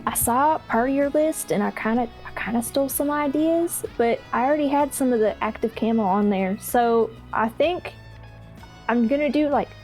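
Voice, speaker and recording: High-voiced eager woman pitching ideas. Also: normal speed, a little mellow, faint music behind. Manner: eager, playful, rambling ideas. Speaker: tabletop game player. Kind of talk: in-character casual banter.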